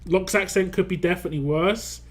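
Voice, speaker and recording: Man, West London accent, streamer, fast rambling chat, slightly high pitch, faint background music. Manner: rambling, talks fast with frequent restarts and fillers. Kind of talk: casual live-stream chat while gaming.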